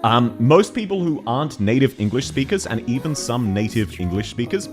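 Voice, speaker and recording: A man with a thick Australian accent, chatting casually and quickly as a game streamer, laughing often while reading chat aloud. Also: mid-range voice, faint music behind. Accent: Australian, unusual variety. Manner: fast-talking, laughs often, animated.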